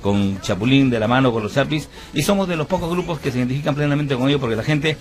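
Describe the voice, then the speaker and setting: A man's voice: medium pitch, animated, fast. A man from Peru with a Peruvian Spanish accent, a musician and band founder, giving an earnest radio interview. He tells his story at length with many fillers and restarts.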